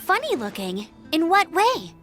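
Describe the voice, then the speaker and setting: A woman playing an eager, confident young knight scout in a video game, energetic and emphatic. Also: extremely high pitch, a little slow, exaggerated voice. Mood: inquiring. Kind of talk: video game character acting. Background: game music.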